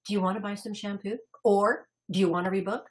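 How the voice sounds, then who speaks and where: A woman's voice: mid-range voice, steady tone, fast. A woman consultant presenting a salon business webinar in a chatty, upbeat way, laughing easily.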